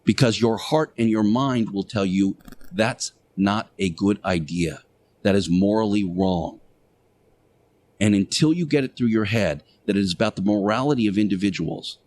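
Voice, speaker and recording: An earnest religious teacher played by a man delivers a radio sermon in a fairly low voice. He is preachy and admonishing, leaning on key words with deliberate pauses and repetition. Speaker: radio show host. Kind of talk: radio monologue, moral sermon.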